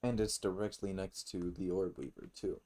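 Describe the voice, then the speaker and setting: A man's voice: low voice, steady tone, normal speed, mellow. A man giving casual let's play commentary, murmuring short reactions to himself as he plays. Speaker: gaming video host.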